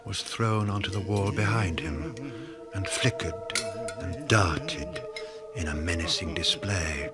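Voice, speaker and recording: Low, slow, theatrical male storyteller over music. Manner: theatrical, suspenseful, sometimes hushed, sometimes booming. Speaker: narrator. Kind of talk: dramatic scripted radio narration.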